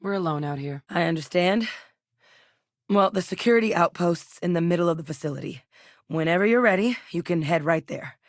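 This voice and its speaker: A seasoned woman military scientist from a space sci-fi game, played by an actress. Her voice sits on the low side and is recorded dry and close. She speaks in measured, earnest lines with crisp authority. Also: lively intonation, no echo, close to an everyday voice. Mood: focused, professional. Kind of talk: scripted game character dialogue.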